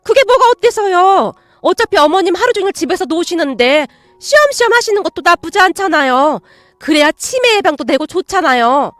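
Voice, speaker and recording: Woman playing a rude aunt, whiny, raised high voice, loud and sneering. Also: big pitch swings, a little fast, dry recording, exaggerated voice. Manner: shrill, indignant and sneering.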